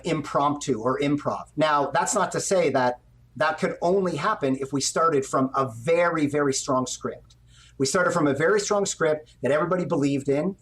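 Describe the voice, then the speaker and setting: Middle-aged male director, slightly high voice, conversational interview guest on a video call. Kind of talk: conversational interview, explaining his work. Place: indoor room on a video call.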